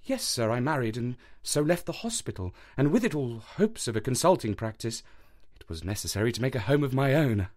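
A man voicing an eager, bumbling young country doctor who talks in an effusive, fussy way and stumbles over his words, with a higher voice than the narration. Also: expressive, a little fast, darkish tone, natural voice. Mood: humble.

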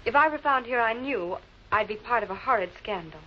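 A woman's voice: fairly high pitch, lively intonation, fairly quick, a little hiss or hum, natural voice. An actress with an American accent playing a poised woman in a 1940s television murder mystery. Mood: guarded, explaining. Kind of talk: 1940s American television crime drama acting. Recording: muffled old film soundtrack with music.

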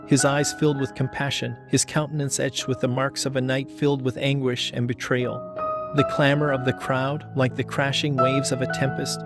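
Man, scripted homily narration, solemn, measured, mid pitch, flat intonation. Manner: measured, solemn storytelling delivery. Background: background music.

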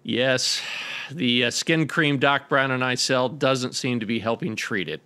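A man's voice: mid-range voice, a little slow, pushed and bright. A man playing a troubled, inquiring doctor seeking advice in a scripted radio drama. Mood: puzzled, polite. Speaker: doctor client character.

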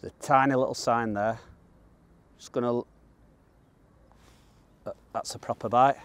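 A man's voice: mid-range voice, steady tone, unhurried, no room sound. Northern English man giving angling tips. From northern England. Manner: plain-spoken, colloquial, matter-of-fact. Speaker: angler presenting a fishing guide. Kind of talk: casual instructional commentary while fishing. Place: outdoors on the bank of a pond.

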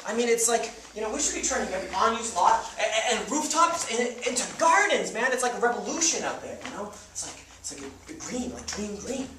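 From far off in a very echoey, noisy theatre comes a man playing a young idealistic slacker. He is pushy and earnest, rambling in loose slang with very animated swings of pitch, as in a stage comedy-drama. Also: a little fast, few pauses. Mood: passionate, preachy. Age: young adult. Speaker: stage actor. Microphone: distant audience camera.

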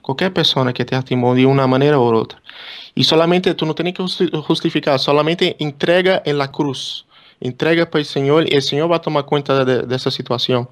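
A man's voice: on the high side, fast talker. A man from Brazil with a Brazilian accent, a pastor leading prayer and talking earnestly on a call-in ministry show. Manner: earnest, repeats phrases, preaching tone. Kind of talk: radio ministry talk and prayer.

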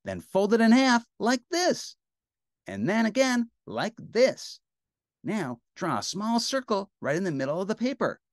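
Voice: mid-range voice, big pitch swings, measured pace, no echo, studio quality, pitched above the performer's own voice. A performer voicing a cheerful young girl who explains crafts step by step in a children's storybook. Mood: cheerful, helpful.

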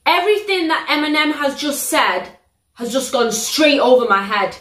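Animated british woman, roomy room. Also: sits high, normal speed. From United Kingdom. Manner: animated, slangy, exclamatory storytelling. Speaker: reaction video host. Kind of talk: casual reaction vlog. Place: living room, sitting on a sofa. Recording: roomy living-room echo.

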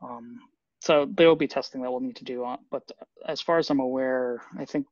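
A man's voice: mid-range voice, steady tone, normal speed, a little mellow, no echo. Man explaining on a dull video call. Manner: thoughtful, explanatory, with many fillers. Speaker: software developer presenting updates. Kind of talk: video call team meeting.

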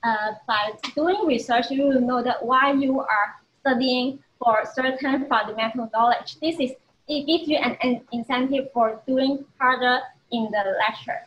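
A young woman with a Hong Kong accent answers panel questions in a studio. Her voice sits somewhat high, and she talks earnestly, pausing with fillers now and then. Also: dull audio, a little hiss or hum. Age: 20s. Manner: earnest, slightly hesitant. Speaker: research student panelist. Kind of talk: panel interview. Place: studio, indoors.

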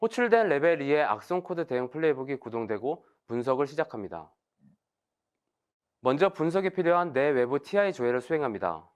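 A man in his thirties giving a formal, scripted technical webinar presentation in a measured, explanatory way. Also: a little high, big pitch swings, clear, resonant tone.

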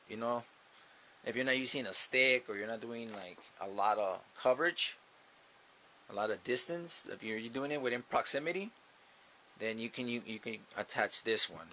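A man's voice: mid-range voice, animated, moderate pace, bright, forceful tone, slight background noise. A young man casually talking through an unboxing in a small grow tent, upbeat and repeating himself as he thinks aloud. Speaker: gardening channel host. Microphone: camera mounted above.

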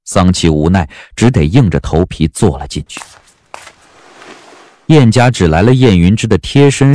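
Low-pitched, expressive male storyteller. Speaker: audiobook narrator. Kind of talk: scripted dramatic storytelling narration.

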